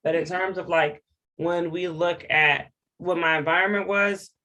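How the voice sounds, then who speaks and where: A woman's voice: on the low side, steady tone, a little slow, pushed and bright, rough recording. An American woman from Hawaii speaking candidly and informally as an interview guest, earnest and emphatic, repeating words for stress. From Hawaii, United States. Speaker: interview guest, education program director.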